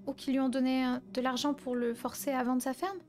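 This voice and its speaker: A woman's voice: sits high, little pitch movement, fairly quick, a little mellow, close to an everyday voice. A woman playing a polite, anxious young woman in improvised online roleplay, speaking hesitantly and apologetically. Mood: worried. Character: anxious, polite young woman, film actress and worried daughter. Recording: background music under the voice.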